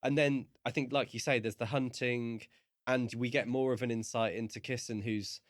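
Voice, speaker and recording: A man co-hosting a podcast, chatting casually about a book and reasoning his way through in hesitant, measured phrases. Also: medium pitch, steady tone, no echo, studio quality. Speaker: podcast co-host. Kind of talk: casual book discussion chat.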